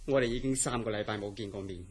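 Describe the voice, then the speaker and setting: An actor playing an earnest, mysterious scientist in a horror radio drama, telling his story in a serious, deliberate way. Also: fairly low pitch, a little hiss or hum, natural voice. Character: earnest, mysterious scientist recounting uncanny dreams. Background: background music.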